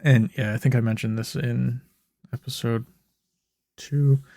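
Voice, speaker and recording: A young man giving laid-back gameplay commentary in a flat, monotone ramble, his voice a bit hoarse and rough. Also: unhurried, dry recording, studio quality. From Canada. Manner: monotone, low-key rambling. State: slightly hoarse. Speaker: gaming YouTuber. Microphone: desk microphone.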